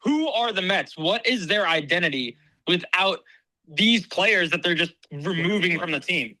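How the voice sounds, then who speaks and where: A man calling in to a sports podcast. He has a high, very bright voice, stammers at first and then builds through rhetorical questions. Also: animated, measured pace, no room sound, rough recording. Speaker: caller. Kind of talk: call-in opinion.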